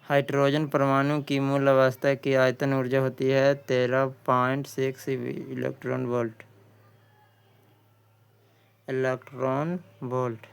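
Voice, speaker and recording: Male quiz tutor, smooth clear tone, flat intonation, halting read-aloud of questions and answers with repeats. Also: mid-range voice. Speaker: exam-prep tutor.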